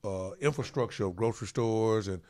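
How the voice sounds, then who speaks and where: A man's voice: lowish voice, brisk, a little mellow. A middle-aged man hosting a sports talk show, talking in a loose, rambling way with many repeats and fillers. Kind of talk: casual studio talk show chat. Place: studio.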